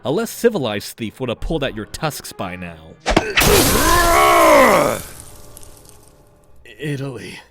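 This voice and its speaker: A man's voice: medium pitch, sing-song, moderate pace, over loud music. A man playing a cocky, suave young raccoon master thief in a comic dub, with wry storytelling and a wistful streak. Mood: defiant. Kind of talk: comic dub voice acting with narration.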